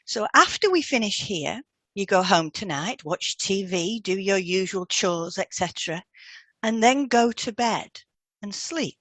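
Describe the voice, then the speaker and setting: Expressive woman reading a scripted podcast. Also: medium pitch, a little slow, no echo. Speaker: hypnotherapist and podcast co-host.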